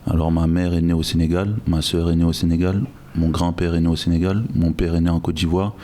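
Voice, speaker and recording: Man from Guinea, 30s, guest on a podcast. Very low, dark, even delivery, measured, restarts often. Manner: measured, reflective, frequent restarts. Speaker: guest, logistics director. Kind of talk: podcast interview.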